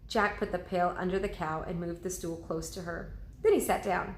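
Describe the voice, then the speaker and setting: A woman's voice: fairly low pitch, fairly even intonation, moderate pace, slight background noise. A woman reading a children's storybook aloud in the manner of a teacher. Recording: roomy, echoing indoor sound.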